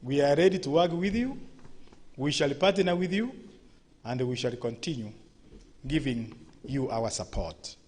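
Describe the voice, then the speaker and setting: A man's voice: mid-range voice, lively intonation, a little slow, pauses often, darkish tone. A man with a Kenyan accent, a ministry director giving formal salutations at a launch event, with frequent hesitations. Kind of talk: formal address.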